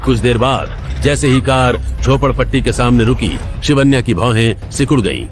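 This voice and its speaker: A man tells a scripted drama as its narrator. His voice is mid-pitched and delivered in a dramatic storytelling manner, with light music running underneath. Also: moderate pace.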